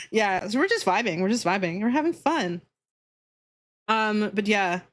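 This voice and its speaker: A woman livestreamer talking casually to her viewers in an animated, opinionated, sweary way. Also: mid-range voice, takes it slowly. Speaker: livestreamer hosting a Q&A.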